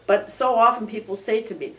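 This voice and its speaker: An older woman, a composer whose first language was Armenian, talking thoughtfully in an interview with frequent restarts and soft laughs. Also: a little high, a little fast. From Belmont area, United States. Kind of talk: casual reflective interview. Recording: recorded from some distance.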